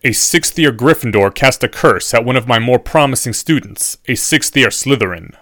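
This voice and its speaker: A man's voice: mid-range voice, expressive, normal speed, fairly bright tone, no room sound, close to an everyday voice. A menacing professor, acted by a male narrator for an audiobook. He speaks formally, with biting sarcasm and a cutting temper, in a very dry, close recording. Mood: biting, cold.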